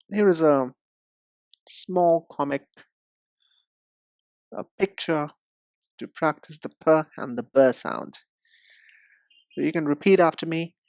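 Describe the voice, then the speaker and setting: A man teaching English pronunciation, explaining step by step in a deliberate, didactic way and speaking directly to the learner. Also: on the high side, vocal fry, darkish tone, dry recording. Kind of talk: instructional lesson with demonstrations.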